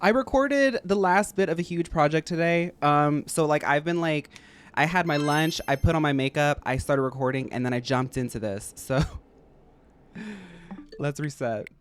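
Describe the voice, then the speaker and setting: A man with a high, feminine-sounding voice, chatting casually and rambling to his live-stream audience while he plays. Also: normal speed, clean tone. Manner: rambling, chatty, addresses chat as y'all. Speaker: game streamer.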